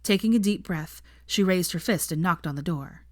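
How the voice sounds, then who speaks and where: A woman narrating a story in a measured, even reading. Her voice sits on the low side and sounds dry and close. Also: no echo. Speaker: fan fiction reader.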